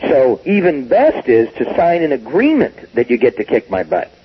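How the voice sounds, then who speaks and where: A man's voice: a little high, moderate pace, vocal fry, husky, lo-fi sound. A man in his sixties, a business guest talking emphatically in a recorded interview, acting out quotes as he goes. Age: 60s. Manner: emphatic, animated storytelling with acted quotes.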